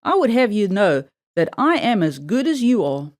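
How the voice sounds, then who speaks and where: Woman as a haughty girl, swooping pitch. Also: big pitch swings, moderate pace, darkish tone, natural voice. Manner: curt, insolent. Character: haughty, rude, selfish elder daughter.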